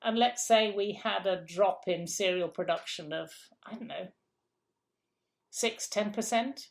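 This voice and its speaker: A woman's voice: mid-range voice, measured pace, gravelly. An older woman with grandchildren, a guest in an interview, answering in a forthright, emphatic way and often repeating herself as she thinks aloud.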